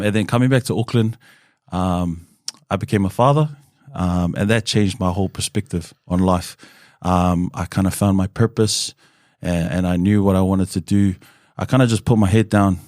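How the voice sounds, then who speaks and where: A man, a podcast guest telling his life story in a relaxed, open way with plenty of "um" and "kinda". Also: deep voice, dry recording, studio quality. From New Zealand. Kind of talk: candid conversational storytelling.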